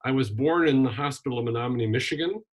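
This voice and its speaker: An older man from Wisconsin, a retired minister and journalist, telling his life story in a relaxed interview with frequent ums and restarts. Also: medium pitch, fast talker. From Wisconsin, USA. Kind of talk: casual conversational interview.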